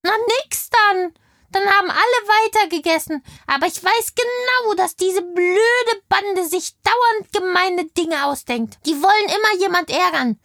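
A woman's voice: very high-pitched, unhurried, a bit bright, no room sound, clean studio sound, exaggerated voice. A woman playing a chatty, indignant kindergarten girl in a children's audio play, telling things in childlike everyday words. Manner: childlike, colloquial storytelling.

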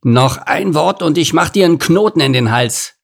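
A man's voice: mid-range voice, brisk, breathy edge. A man voicing an irritable, threatening rhinoceros chief in a children's storybook, grumbling and scolding. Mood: angry.

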